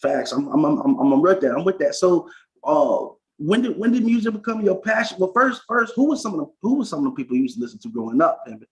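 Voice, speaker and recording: A man's voice: a little high, very animated, fast talker. A man from Florida hosting a podcast interview, rambling through his questions with lots of restarts and frequent "facts" in agreement. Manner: rambling, many restarts, says "facts" a lot. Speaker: podcast host. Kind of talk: casual interview talk. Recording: dull lo-fi sound.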